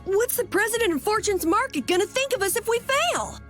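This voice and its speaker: Earnest, high-voiced young boy adventurer. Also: lively intonation, brisk, soft background music. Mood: dismayed, fretting. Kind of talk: English video game dub acting.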